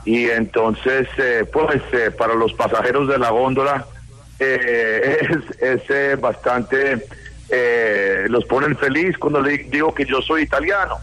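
A Colombian man in his sixties, interviewed on the phone, talking with many pauses and fillers. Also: mid-range voice, fairly bright tone, low-quality recording. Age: 60s. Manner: hesitant, many fillers and restarts.

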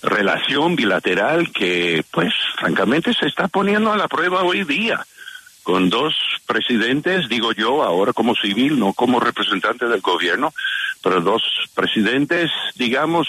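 An older man from New York, a former diplomat, speaking Spanish with an American accent as a native English speaker, chatty and expressive with many fillers, answering radio interview questions. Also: medium pitch, normal speed, slightly rough, no room sound. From New York, USA.

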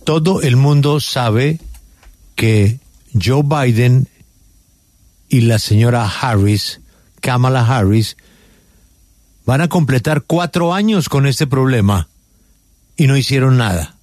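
A man's voice: mid-range voice, takes it slowly, pauses often, darkish tone, dry recording. A man interviewing an ambassador, asking polite, direct questions. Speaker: interviewer, host.

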